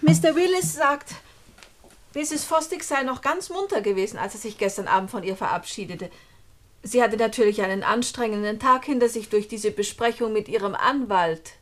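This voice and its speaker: A high-voiced young woman played by an actress in a German radio play. She is anxious and dramatic, pressing her words with urgent, pleading insistence. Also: normal speed, lightly acted. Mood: nervously composed.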